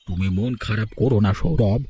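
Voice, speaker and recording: A man voicing a gentle, low-voiced old teacher. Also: fairly quick, slight background noise, close to an everyday voice. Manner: gentle, calm, pleading. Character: patient, humble old village schoolteacher. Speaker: old village schoolteacher. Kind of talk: cartoon voice acting.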